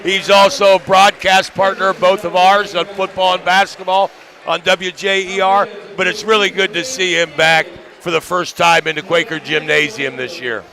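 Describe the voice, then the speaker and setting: A man's voice: high voice, flat delivery, normal speed, gravelly, pushed and bright. A man giving conversational co-commentary on a local sports broadcast from a gymnasium. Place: indoor high school gymnasium.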